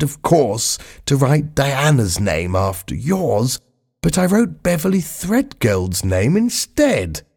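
A man's voice: fairly high pitch, creaky, slightly rough, pitched above the performer's own voice, exaggerated voice. A man voicing a polite, plaintive prisoner. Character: polite, mild middle-aged man writing from prison.

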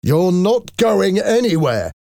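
Man acting an angry, protective father, emphatic and animated. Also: low-pitched, moderate pace, gravelly, husky, no room sound, studio quality, exaggerated voice. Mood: angry, hurt. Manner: incredulous, forceful, emotional. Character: angry, protective, anxious father of a grown daughter.

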